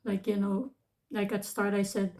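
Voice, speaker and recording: A woman's voice: medium pitch, completely flat delivery, normal speed, darkish tone. A woman in her thirties from the Philippines with a Filipino accent, talking casually and hesitantly in a vlog with many restarts. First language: Tagalog. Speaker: vlogger. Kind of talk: casual advice talk.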